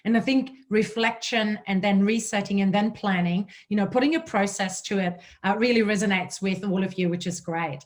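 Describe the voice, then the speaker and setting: A woman's voice: mid-range voice, moderate pace, hardly any pauses. A woman in her forties from Germany with a German accent, presenting a webinar as a leadership coach, enthusiastic and fluent.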